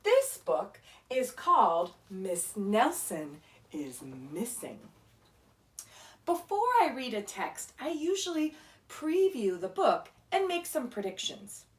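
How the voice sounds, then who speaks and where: Very expressive woman reading aloud, echoey room. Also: a little high, takes it slowly. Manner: asks the child listener questions, simple explaining. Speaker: read-aloud storyteller, teacher. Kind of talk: expressive children's picture book read-aloud. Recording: echoey room, distant microphone.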